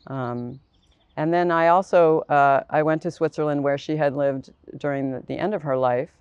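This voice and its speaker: A woman's voice: deep voice, fairly even intonation, moderate pace, darkish tone, clean tone, dry recording, muffled recording. A woman guest author speaking thoughtfully in a panel discussion, telling stories in an articulate, reflective way.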